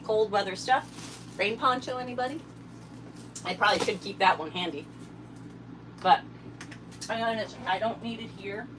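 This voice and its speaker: A woman hosting a casual livestream while tidying her RV, a little hoarse from a summer cold, chatting and thanking viewers by name. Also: mid-range voice, normal speed, long pauses, noisy background. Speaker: livestream host. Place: inside an RV.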